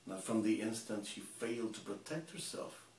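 A man playing a cold, menacing serial killer confessing in a halting, intimate stage monologue. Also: on the low side, expressive, a little mellow, lightly acted. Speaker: amateur actor performing a monologue. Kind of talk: theatrical dramatic monologue. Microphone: camera mic at some distance. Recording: roomy, distant camera mic, hissy.

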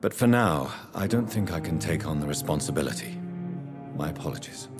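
Game gentleman physician, very low pitch, lively intonation, formal, composed, proud. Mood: polite, composed. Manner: formal, measured, polite. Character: composed, proud gentleman doctor, newly turned vampire in 1918 London. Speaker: doctor. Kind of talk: video game dialogue. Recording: music under the dialogue.

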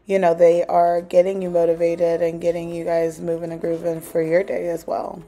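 A woman's voice: fairly low pitch, monotone, normal speed, mellow, soft background music. A woman in her thirties with an American accent, chatting casually and encouragingly to her viewers in a home cleaning vlog. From South Jersey, USA. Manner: chatty, encouraging, with many "like" fillers. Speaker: vlogger, stay-at-home mom. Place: parked car, then home.

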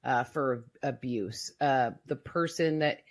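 A woman with a very low voice telling her personal story in a podcast conversation, reflective and halting with many restarts. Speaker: guest sharing her story.

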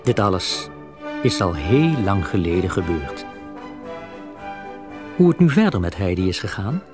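A man narrating a children's story as a storyteller, expressive and with dramatic pauses. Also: deep voice. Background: soft music.

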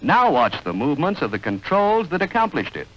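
A man reading scripted 1940s training film narration in a measured, instructional, clearly enunciated manner. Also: fairly high pitch, very animated, fairly bright tone. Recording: dull, band-limited vintage film soundtrack.

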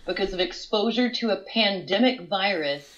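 A woman's voice: mid-range voice, lively intonation, normal speed, fairly bright tone. A woman in her forties, chatting casually at home over a video call, a bit congested with a cold and given to long storytelling asides. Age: 40s. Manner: chatty, storytelling, laughs often. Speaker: co-host. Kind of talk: casual chat. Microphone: smart display video call. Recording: dull, lo-fi video call audio.

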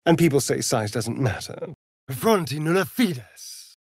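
An elegant vampire rogue for a fantasy game, speaking with a British accent. He drawls unhurriedly, his pitch swooping with mockery and flirtation. The delivery is vain, arch and openly theatrical. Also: lively intonation, measured pace, a little mellow, slightly rough, dry recording, studio quality. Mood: arch, wry.

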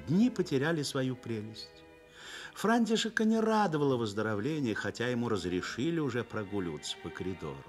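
A man narrating a Soviet radio play in an expressive, literary storytelling manner. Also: on the low side.

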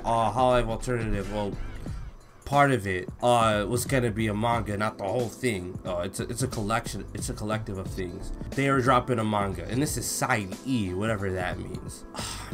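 A young man doing casual, slangy reaction commentary and read-along, expressive and given to drawn-out exclamations. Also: medium pitch. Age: young adult. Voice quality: slangy, informal. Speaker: YouTube reaction host. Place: small indoor room at home.

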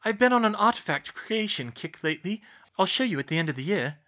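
A man's voice: sits high, sing-song, quick pace, a bit bright, muffled recording, pitched above the performer's own voice. A man voicing a brainy young witch for a story, earnest and polite as she explains herself. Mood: chatty. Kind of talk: audiobook character voice.

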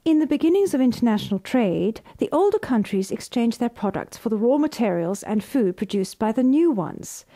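A woman voice artist reading scripted narration in a measured, formal way. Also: on the high side, sing-song, dark tone.

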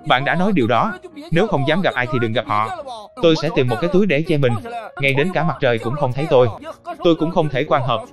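A man voicing cheeky, stubborn talking plants in an animated cartoon, with a sassy, exclamatory delivery. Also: medium pitch, quick pace, over music, natural voice. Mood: indignant, stubborn. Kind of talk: animated cartoon dialogue dub.

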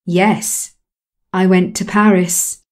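A woman calmly repeating English model sentences in a slow, measured way for a lesson. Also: lowish voice. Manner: calm, measured repetition of phrases. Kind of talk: slow scripted language drill.

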